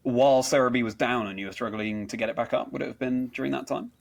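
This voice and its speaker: A man's voice: medium pitch, steady tone, quick pace, creaky. A man hosting a conversational interview, attentive and encouraging as he reacts and asks follow-up questions. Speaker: podcast host, interviewer.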